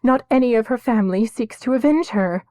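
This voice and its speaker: A woman voicing a frightened, grieving young slave girl in high, pleading cries. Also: brisk, warm tone, no room sound, natural voice. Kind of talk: audiobook character voice.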